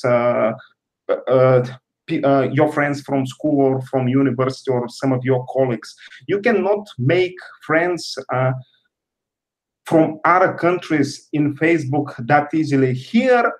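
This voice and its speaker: A man from Bulgaria in his thirties, answering interview questions carefully with many pauses and fillers. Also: fairly high pitch. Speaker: guest.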